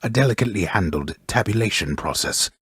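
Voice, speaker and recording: An actor playing a wry, grandiose old storyteller in a video game, narrating Greek myth gravely and ornately with dry mockery, his voice gravelly. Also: lively intonation, fairly quick, fairly bright tone, dry recording. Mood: wry, stately. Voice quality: very deep, gravelly, husky voice. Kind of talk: theatrical scripted game narration.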